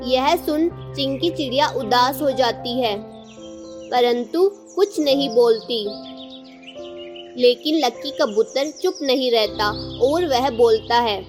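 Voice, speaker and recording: A woman's voice: high voice, slow pace, music in the background. A woman narrator reading a scripted children's story.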